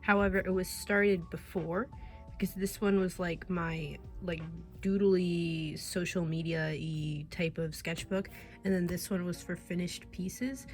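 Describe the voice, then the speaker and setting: A woman's voice: lowish voice, steady tone, measured pace, darkish tone, faint music behind. A young woman artist chatting casually and rambling, laughing often, getting over a cold. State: recovering from a cold. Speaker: artist vlogger. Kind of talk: casual chat.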